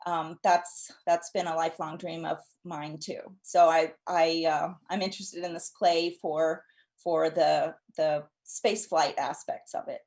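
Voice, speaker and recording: A woman geologist introducing herself on a panel, pausing and restarting often. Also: deep voice, flat delivery, a little mellow. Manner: hesitant, many restarts.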